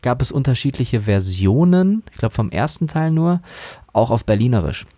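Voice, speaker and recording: A man who works as a dubbing actor, talking casually and thoughtfully about his craft with many pauses and restarts. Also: big pitch swings, mellow, dry recording. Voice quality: relatively high voice. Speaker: voice actor guest. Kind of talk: casual interview talk.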